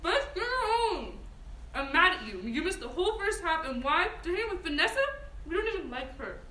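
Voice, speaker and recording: Very high, bright, whiny teen drama girl played by a woman. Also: very animated, normal speed, a little hiss or hum, exaggerated voice. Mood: annoyed. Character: theatrical, whiny high school actress Lucy. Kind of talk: screenplay table read. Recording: echoey room, far from mic.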